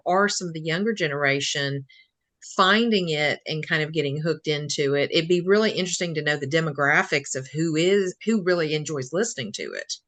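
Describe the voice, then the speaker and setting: A woman's voice: sits low, a bit bright. An American woman co-hosting a podcast interview in a casual, chatty and informal way. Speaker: podcast co-host, English teacher.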